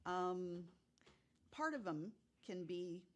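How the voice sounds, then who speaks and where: Low-voiced woman chatting on a podcast. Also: lively intonation, drawn-out words. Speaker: guest panelist telling stories. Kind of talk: casual podcast conversation and storytelling.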